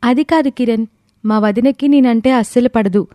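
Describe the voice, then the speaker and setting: A woman's voice: a little high, moderate pace, warm tone, dry recording, lightly acted. A woman playing a resentful, scheming young college girl in an audio story, complaining and persuasive. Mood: pleading, scheming. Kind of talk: scripted audio story dialogue.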